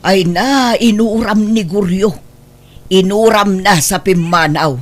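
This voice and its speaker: A woman's voice: mid-range voice, takes it slowly, lightly acted. A woman with an Ilocano accent acting a worried older mother of grown daughters in an audio drama, speaking with motherly concern.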